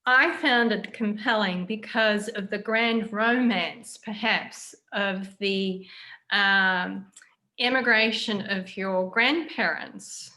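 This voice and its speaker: A woman hosts a thoughtful interview in a mid-pitched, bright and forward voice. She speaks slowly and often pauses to search for the right word. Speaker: interviewer, writer. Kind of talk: reflective interview chat.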